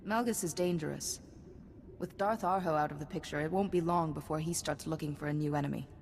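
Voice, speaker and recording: An actress playing a principled female Jedi who speaks in a firm, measured way, in a video game. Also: low voice, darkish tone, big-room sound, faint music behind. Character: stern female Jedi ally, principled and dry.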